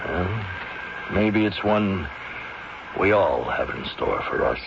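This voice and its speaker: A man playing a steady, grave friend with a measured delivery in a 1970s radio drama. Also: deep voice, gravelly, full character voice. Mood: reflective, grave. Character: steady, grave friend who exposes a murder plot. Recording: muffled, hissy 1970s radio recording.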